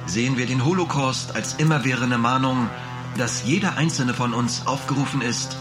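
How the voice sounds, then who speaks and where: An actor playing a solemn documentary narrator, reading scripted memorial words. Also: male voice, mid-range voice, moderate pace, over music, natural voice.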